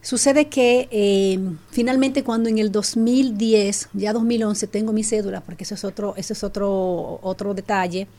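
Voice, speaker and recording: A middle-aged Dominican woman with a Dominican Spanish accent, telling her story as a guest in an animated, casual way with frequent restarts. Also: medium pitch, measured pace, hardly any pauses. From Dominican Republic. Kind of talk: casual chat.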